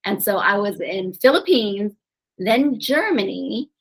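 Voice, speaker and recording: A woman guest on a podcast, telling her life story in a chatty, animated way and laughing often. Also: medium pitch, a little slow, creaky, husky, low-quality recording. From grew up in New Orleans, USA. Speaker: podcast guest, eye doctor and real estate investor. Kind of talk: casual interview storytelling.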